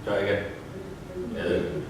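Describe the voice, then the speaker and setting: A man giving brief, casual remarks and answers at a pub quiz. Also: brisk. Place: indoors in a pub. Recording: far from mic, echoey noisy room.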